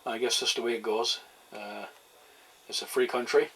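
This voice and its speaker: Man chatting on CB amid clear noise. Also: medium pitch, fairly even intonation, moderate pace. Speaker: CB radio operator. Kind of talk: casual CB radio chat. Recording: clearly noisy radio static and hiss. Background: radio noise.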